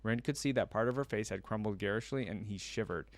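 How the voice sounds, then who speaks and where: A man with a fairly low voice reads a story aloud for a reaction video. His telling is expressive and slips into casual commentary. The sound is dry, with little room around it. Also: quick pace, dry recording.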